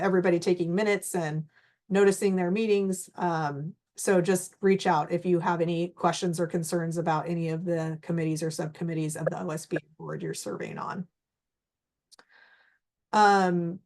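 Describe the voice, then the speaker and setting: A woman explains procedures to a board in a slightly low voice. She is getting over a cold and her voice is fading. She talks informally, with fillers between points. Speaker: staff presenter at a board meeting. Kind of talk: training presentation.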